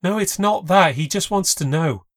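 A man voicing a distraught young woman who clings to her boyfriend, pleading in a high, tearful voice. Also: normal speed, no echo, clean studio sound. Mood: defensive.

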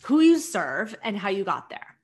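A woman's voice: medium pitch, big pitch swings, moderate pace, a little mellow, breathy edge, dry recording. A woman hosts an interview show with an upbeat, enthusiastic manner. Her voice sits in a middle register and sounds dry and close. She moves from a scripted introduction into easy conversation. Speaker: podcast host.

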